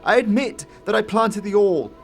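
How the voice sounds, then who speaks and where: Stammering, anxious kid for an audio drama, played by an adult man, high-voiced and halting. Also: animated, soft background music. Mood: pleading, insistent. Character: nervous stammering boy, confessing and pleading.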